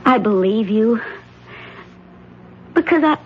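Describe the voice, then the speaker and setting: A woman playing a frightened middle-aged wife of a judge in a 1970s radio drama, talking in an anxious, halting, stammering way. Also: a little high, expressive, slight background noise. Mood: frightened, pleading. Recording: dull old radio broadcast.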